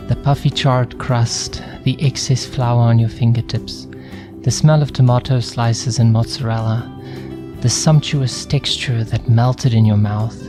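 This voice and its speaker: A man reading a scripted travel commercial as a voice-over, with an evocative, unhurried delivery built on rhetorical questions. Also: mid-range voice. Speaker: voice-over artist.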